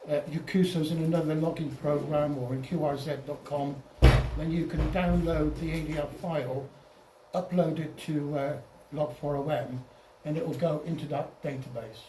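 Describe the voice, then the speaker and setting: A man giving an informal software tutorial over slides as a club presenter, halting and often repeating or restarting his phrases. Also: a little high, fairly even intonation. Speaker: presenter at an amateur radio club. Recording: roomy, distant mic, faint noise.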